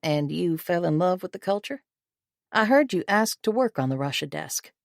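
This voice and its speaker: Formidable older female spy chief, composed and authoritative, lowish voice, dry close sound, read by a woman. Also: animated, normal speed, vocal fry, no echo. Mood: curious. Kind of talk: audiobook character reading.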